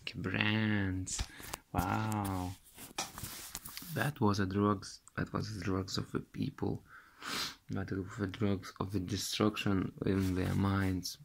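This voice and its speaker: Lithuanian guy in his 30s, russian accent, gravelly lowish voice, slow and halting, talking into his phone. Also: level tone. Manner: halting, searching for words, repeating himself. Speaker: vlogger. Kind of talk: recounting a dream to camera. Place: small room indoors. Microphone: phone camera held close.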